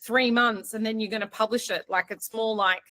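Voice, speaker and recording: A woman's voice: a little high, fairly even intonation, normal speed, bright, forceful tone, no echo. A woman hosting a podcast in casual, chatty interview style. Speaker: podcast host, journalist.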